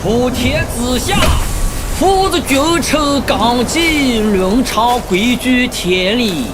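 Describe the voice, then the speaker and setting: Fantasy game: solemn bard, traditional chanted storytelling, extremely drawn-out, slightly high. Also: female voice, lively intonation, loud music behind the voice.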